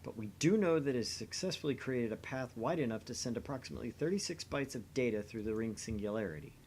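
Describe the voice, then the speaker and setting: A man's voice: medium pitch, expressive, fast, a little mellow, slight background noise. A man doing an acted reading of dialogue and narration from a visual novel game. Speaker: voice reader in a visual novel playthrough.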